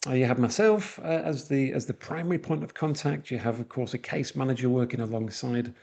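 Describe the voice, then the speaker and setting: A man's voice: medium pitch, fairly quick, vocal fry, a little mellow. A man from northwest England with a Manchester accent, giving a webinar presentation with frequent uhs and restarts. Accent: Mancunian.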